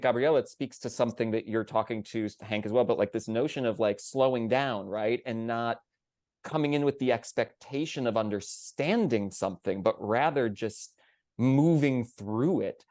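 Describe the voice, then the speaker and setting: A man's voice: medium pitch, moderate pace, darkish tone, no room sound, clean studio sound. A man talking conversationally on a theater panel, earnest and emphatic, circling around his phrasing.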